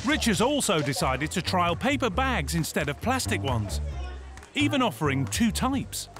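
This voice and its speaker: A man's voice: high voice, very animated, normal speed. A man narrating a documentary from a script in a wry, playful manner. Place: studio voice-over. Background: prominent music.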